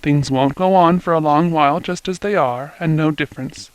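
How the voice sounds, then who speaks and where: A man doing a slow old rustic father. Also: on the high side, clear, resonant tone, no echo, close to an everyday voice. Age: older. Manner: slow, rustic country dialect, fond.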